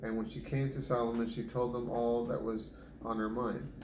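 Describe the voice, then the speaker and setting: A man reading a Bible chapter aloud for his family, steady but often stumbling and restarting words, recorded at home. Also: medium pitch, moderate pace. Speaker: Bible reader. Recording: echoey room with background noise.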